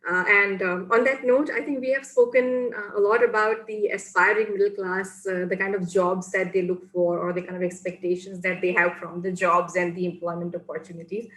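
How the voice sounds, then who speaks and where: A woman hosting an academic interview, asking measured questions with frequent uh and um. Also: fairly low pitch, fairly even intonation, a bit bright, echoey room, rough recording. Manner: measured, hedging with uh and um. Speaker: host interviewer.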